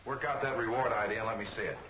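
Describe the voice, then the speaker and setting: An actor playing a confident, wry young newspaper editor in an old 1940s film serial. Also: male voice, on the low side, fairly even intonation, racing, sharp tone, slight background noise. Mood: brisk, wry. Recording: echoey old film soundtrack, faint music.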